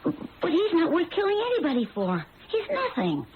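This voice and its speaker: Brassy kept woman, animated, tinny radio. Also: very high-pitched, normal speed, full character voice. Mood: alarmed. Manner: slangy, brash. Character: brassy, flippant kept woman, a mocking gold-digger. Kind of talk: old-time radio drama acting. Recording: noisy, tinny old radio recording.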